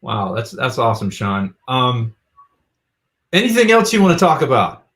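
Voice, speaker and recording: A man's voice: medium pitch, very animated, normal speed, a bit bright. A man hosting a podcast interview, asking questions casually with short affirming replies. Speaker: podcast host.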